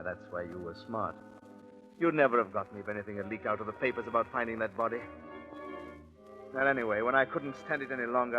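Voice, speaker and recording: An actor playing a nervous, confessing murderer in 1940s radio acting style, intense and dramatic. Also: male voice, medium pitch, natural voice. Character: nervous male murderer confessing, cocky then rattled. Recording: muffled 1940s radio recording with music.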